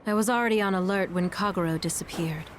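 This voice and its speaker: A woman's voice: medium pitch, fast, slight background noise, close to an everyday voice. A woman playing a tormented military commander and confessed spy in an English video game dub. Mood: composed. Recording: music playing behind the voice.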